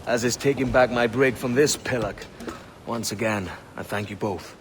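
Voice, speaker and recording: An actor as a brash young pirate captain, noisy surroundings. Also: male voice, mid-range voice, moderate pace, close to an everyday voice. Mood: cocky, defiant. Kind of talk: scripted video game dialogue.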